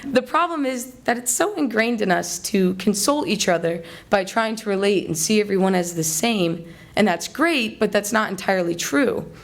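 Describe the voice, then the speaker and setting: A woman's voice: mid-range voice, lively intonation. Young American woman giving an earnest speech. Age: young adult. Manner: earnest, deliberate rhetorical delivery. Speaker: student speech contest finalist. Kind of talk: rehearsed persuasive public speech. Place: college hall, before a live audience.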